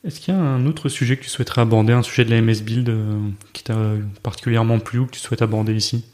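A man's voice: mid-range voice, steady tone, rapid-fire, a little mellow. A man hosting a podcast, asking a guest long, casual questions. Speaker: podcast host, interviewer.

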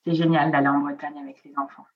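A woman's voice: deep voice, level tone, fast. A middle-aged woman from southern France, whose first language is Italian, chatting casually as an interview guest with many hesitant fillers. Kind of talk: casual conversation. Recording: dull lo-fi sound.

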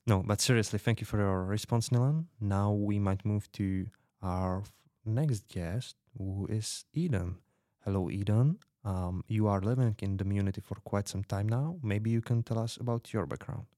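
Podcast host, played by a man: lowish voice, close dry sound, polite and reassuring. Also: moderate pace, dark tone, no echo, close to an everyday voice. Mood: polite, welcoming. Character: welcoming male radio host of a misfit refuge in 2050. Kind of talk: fiction podcast interview, semi-improvised.

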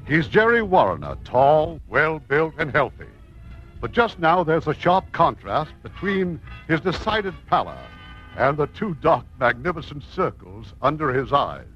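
A man's voice: fairly high pitch, big pitch swings, a little slow, fairly bright tone, highs cut off, faint music behind. A man hosting and narrating a 1950s radio theatre broadcast in a scripted, presentational style. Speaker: producer and host introducing a radio play.

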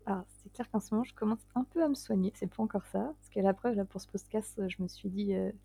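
A woman's voice: medium pitch, quick pace, vocal fry, dark tone, husky. A woman in her late twenties, a guest writer talking casually in an interview, hesitant and self-deprecating, often breaking into laughs. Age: 20s.

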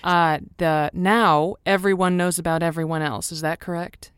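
A woman's voice: fairly low pitch, steady tone, moderate pace, a crowd in the background, natural voice. A woman playing a wry, teasing radio advice host in an improvised audio drama, talking conversationally. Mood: probing, wry. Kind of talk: semi-improvised audio drama, call-in talk.

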